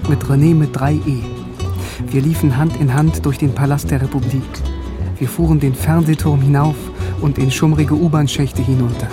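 A man's voice: fairly high pitch, fairly even intonation, moderate pace, over music, lightly acted. A man playing a young philosophy student in a radio drama, telling his story in the first person in a plain voice. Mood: reflective. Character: young male philosophy student, narrating his own memories.

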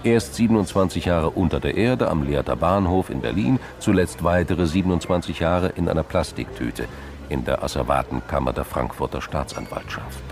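A man with a gravelly voice reading scripted narration for a crime radio drama. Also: extremely low pitch, moderate pace, background noise.

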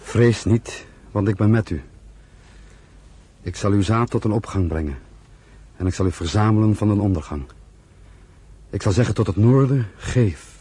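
An actor playing a devout, resolute young refugee in a radio drama, earnest and declamatory. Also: male voice, lowish voice, normal speed, long pauses, warm tone, husky. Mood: reverent. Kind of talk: Dutch radio drama acting. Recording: slightly noisy old radio play recording.

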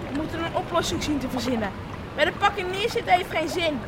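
A high-pitched, determined flood victim, practical and urgent in a radio drama. The voice fights through very loud water noise. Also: female voice, expressive, fast. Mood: grimly practical. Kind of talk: Dutch radio play acting.

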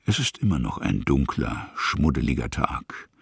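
A man narrating a literary radio drama expressively, with a gravelly, husky voice and a musing way of savouring phrases. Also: a bass voice, big pitch swings, normal speed, no echo, studio quality.

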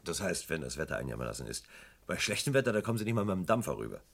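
Husky, low-voiced male police inspector. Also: sing-song, racing, heavily acted. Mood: grumbling. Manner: brusque, grumbling. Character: gruff, weary male police chief inspector. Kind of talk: German radio crime drama acting.

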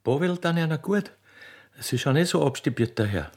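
A man's voice: on the high side, animated, normal speed, a little mellow. A man voicing a fat, nosy widow, gossipy and greedy, in whispery broad Austrian dialect in a play reading. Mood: coaxing.

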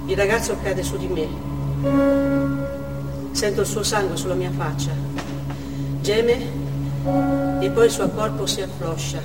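Actress as shaken hostage woman, halting stage monologue, medium pitch, echoey hall w loud music. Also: recorded from a distance, close to an everyday voice. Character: shaken female hostage in the theatre audience.

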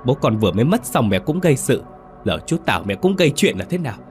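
A man playing an angry adult son, loudly scolding his mother in a horror story. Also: mid-range voice, sing-song, quick pace, faint music behind, pitched above the performer's own voice, natural voice. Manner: loud, exasperated scolding.

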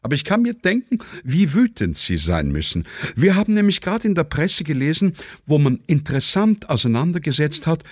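A man's voice: fairly high pitch, no echo, studio quality, pitched above the performer's own voice. A man voicing a fussy, inquisitive, grumbling Viennese citizen in a satirical radio play. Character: fussy, curious, average-eating Viennese man, chatty grumbler.

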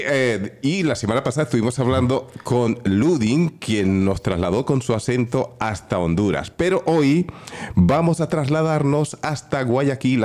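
Man, radio co-host, lively, promotional, mid-pitched voice. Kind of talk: radio show hosting.

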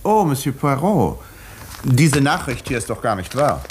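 An actor playing a wry village doctor who narrates a murder mystery in a 1950s German radio play, measured and educated. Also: male voice, medium pitch, big pitch swings, a little hiss or hum. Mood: sceptical, probing.

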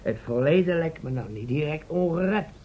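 A man playing a brash, quick-witted sceptic in a radio play. His voice sits a bit high, blunt and cheeky. The recording is a little dull with faint noise. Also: expressive, natural voice. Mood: argumentative, emphatic. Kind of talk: Dutch radio serial acting. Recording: dull, slightly noisy old radio recording.

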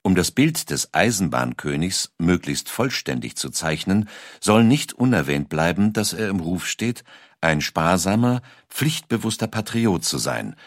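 A man reading scripted documentary narration in a measured, dramatic storytelling manner. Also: low voice, big pitch swings, no room sound, studio quality.

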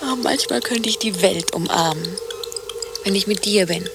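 A woman's voice: medium pitch, normal speed, gravelly, background noise, natural voice. An actress in a radio drama playing a dreamy, devoted but self-willed female research partner at a polar station. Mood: dreamy, tender.

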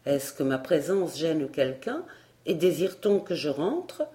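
A woman's voice: low voice, big pitch swings, unhurried, dark tone. A woman voicing a meek, polite male tutor in a storybook, speaking modestly and hesitantly. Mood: meek, ingratiating.

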